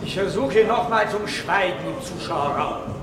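Stern, high-pitched court official over crowd. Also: male voice, unhurried. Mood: commanding. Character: stern, commanding male court official calling for silence. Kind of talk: 1950s German radio drama acting. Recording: echoey courtroom sound with murmuring crowd.

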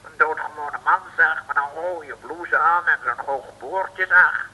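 Husky, fussy naval desk officer. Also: male voice, a little high, unhurried, bright, forceful tone, heavily acted. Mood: breezy, whiny. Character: silly, fussy male naval desk officer, breezily unhelpful. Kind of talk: comic old radio serial acting. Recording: old radio recording, long reverb.

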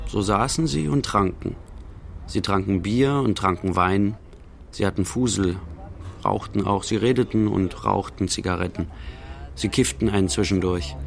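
A man's voice: on the low side, moderate pace, gravelly, slight background noise. A man narrating a radio play as a laconic storyteller, in plain, repetitive short sentences. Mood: matter-of-fact, wistful. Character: laconic male storyteller recalling idle drinking days.

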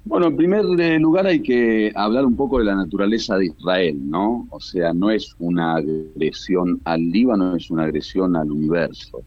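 Low-voiced Argentine male analyst, dull link. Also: normal speed, hardly any pauses, no room sound. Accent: Argentine Spanish. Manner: emphatic, builds points by repetition. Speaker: international analyst guest. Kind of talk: political commentary in interview. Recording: dull lo-fi remote radio link.

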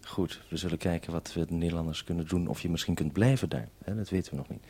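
A man presenting an unscripted live radio interview in a measured, probing way. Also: sits low, steady tone, noisy background.

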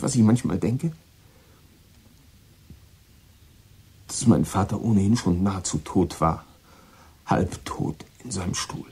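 An actor playing a troubled, guilt-ridden American boy of sixteen in a German radio drama, speaking haltingly and repeating words in agitation. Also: on the low side, vocal fry, dark tone, breathy edge, heavily acted. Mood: anguished.

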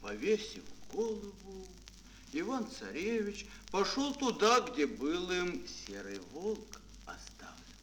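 Мужчина театрально и декламационно рассказывает детскую сказку как рассказчик аудиоспектакля. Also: male voice, sits high, huge pitch swings, takes it slowly, fairly bright tone, low-quality recording. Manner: theatrical, declamatory. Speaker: narrator. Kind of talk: dramatic fairy-tale narration for children. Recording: old mono record, faint noise.

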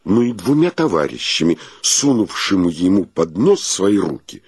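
An actor narrating a comic literary tale as a wryly solemn storyteller in a radio play. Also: male voice, low voice, very animated, moderate pace, darkish tone, husky, no echo, exaggerated voice.

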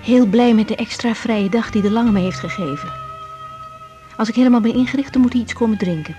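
An actress plays a young female doctor with a fairly high voice and a Netherlands Dutch accent. She is worn out but kind, talking in brief, thoughtful phrases for a radio drama, with some music in the recording. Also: fairly quick, mellow, close to an everyday voice. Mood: content. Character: young female doctor, weary, sensitive, caring. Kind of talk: radio drama acting, intimate diary monologue and dialogue.

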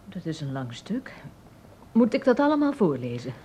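A woman playing an obliging reader in a radio play, reciting haltingly and deliberately from a book. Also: medium pitch, dark tone, slightly rough, full character voice. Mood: uncertain. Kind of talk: radio drama, reading aloud from a book. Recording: dull, lo-fi sound with faint music.

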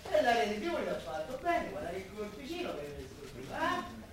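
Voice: mid-range voice, big pitch swings, a little fast, background noise, lightly acted. A performer playing an effusive, nosy Roman neighbourhood character of the 1940s in a dialect stage radio play, theatrical and exclamatory. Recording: echoey hall from far off, music.

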